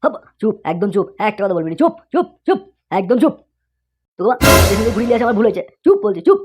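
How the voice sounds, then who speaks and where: Woman as a high, blustering cartoon husband. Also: sing-song, fast talker, music in the background. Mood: furious. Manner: blustering, scolding. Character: hot-tempered, exasperated young cartoon husband. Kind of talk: comic cartoon dialogue. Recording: dull lo-fi sound.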